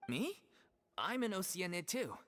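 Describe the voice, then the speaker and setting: A man's voice: high voice, very animated, a little slow. A man playing an easygoing, jovial, food-loving stage actor in an anime game. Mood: friendly. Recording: game audio under loud music.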